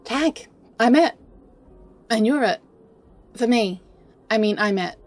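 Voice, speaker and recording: A woman playing a flustered, smitten, playfully scheming best friend in an intimate romantic audio roleplay, speaking in halting, stammering bursts. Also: fairly high pitch, lively intonation. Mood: giddy, tender.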